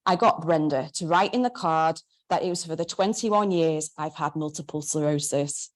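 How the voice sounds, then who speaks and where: A woman's voice: low voice, moderate pace, lightly acted. A woman voicing her blunt, wry, devout older mother, talking plainly and bluntly. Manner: blunt, accusing.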